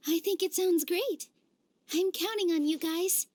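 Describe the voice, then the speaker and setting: A woman's voice: very high voice, takes it slowly, a little mellow, dry recording. A woman playing a sweet, earnest young dancer in a video game cutscene. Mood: warm, cheerful.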